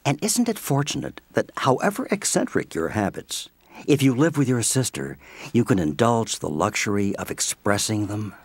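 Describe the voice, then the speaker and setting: Male narrator for audio drama: husky, animated intonation, theatrical and deliberate storyteller. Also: lowish voice, no echo. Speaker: narrator and presenter.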